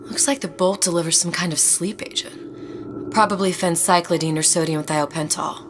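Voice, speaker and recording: A woman's voice: fairly low pitch, steady tone, moderate pace, background noise, close to an everyday voice. An actress playing a troubled, bookish young woman in a dramatic video game. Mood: wary, focused. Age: young adult. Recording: music behind the voice.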